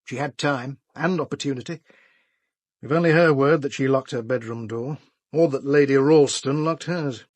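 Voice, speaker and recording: A man's voice: mid-range voice, moderate pace, no room sound, lightly acted. A British actor playing a shrewd, dryly sardonic police inspector in a radio drama, wry and probing in his questioning. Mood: wry, speculative. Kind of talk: full-cast radio drama.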